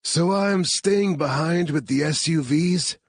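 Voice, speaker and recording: A man's voice: on the high side, slow, dry recording, voice pushed up. A man voicing a frustrated young tech specialist in an audiobook. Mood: frustrated, urgent.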